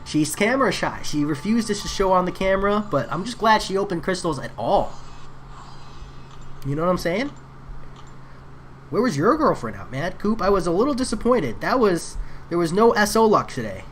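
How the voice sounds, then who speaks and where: Guy streaming a phone game, chatty w/ viewers, bouncy pitch, little bit of hiss behind. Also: sing-song, normal speed. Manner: chatty, answers chat viewers. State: voice getting worn. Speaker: gaming streamer. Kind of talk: casual live commentary while playing.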